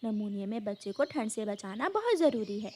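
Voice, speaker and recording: A woman's voice: medium pitch, big pitch swings, brisk, dark tone, a little hiss or hum, close to an everyday voice. A woman voicing a rich, generous bird who is a caring household mistress in a children's cartoon. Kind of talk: Hindi children's moral cartoon dialogue.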